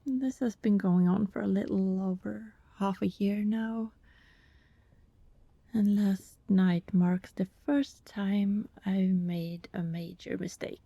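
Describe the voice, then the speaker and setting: A woman playing an anxious, apologetic vampire neighbor in an ASMR roleplay, soft and hesitant, often whispering, with politely formal wording. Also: mid-range voice, steady tone, slow, vocal fry, no echo. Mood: reluctant, sheepish.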